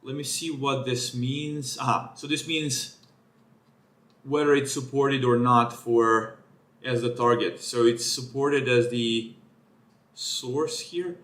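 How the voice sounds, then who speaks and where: A man talks through a technical paper live, thinking aloud and correcting himself as he goes. His voice sits at an ordinary middle pitch. The room around him gives a noticeable echo. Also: fairly even intonation, slow, a bit bright. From Serbia. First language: Serbian. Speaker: streamer reading a research paper. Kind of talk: live-streamed paper walkthrough.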